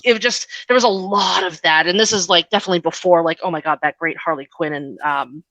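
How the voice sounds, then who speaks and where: A woman's voice: on the low side, quick pace, fairly bright tone, no room sound. A woman speaking as an interview guest in a casual, chatty and informal way, often dropping in "like" and "you know". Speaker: interview guest, comics writer and editor.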